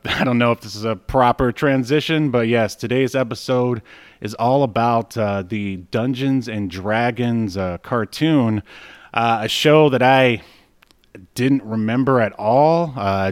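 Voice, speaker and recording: A man's voice: mid-range voice, animated, measured pace, smooth, dry recording, clean studio sound. A man co-hosting a podcast in casual, joking banter, laughing often and saying "uh" a lot. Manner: jokey, laughs often, many "uh" fillers. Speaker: podcast co-host.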